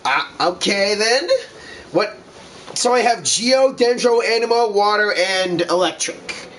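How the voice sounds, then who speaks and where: A man with a high, forceful voice gives casual let's play commentary at a slow pace. He is excitable and reacts out loud to the game. The home recording has clear background noise. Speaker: gaming video host.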